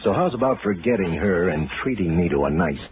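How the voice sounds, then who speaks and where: A man's voice: low-pitched, lively intonation, a little fast. A man playing an easygoing, skeptical police lieutenant in a 1960s radio detective drama, informal and fond of ribbing. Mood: teasing. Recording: tinny, faintly noisy 1960s radio recording.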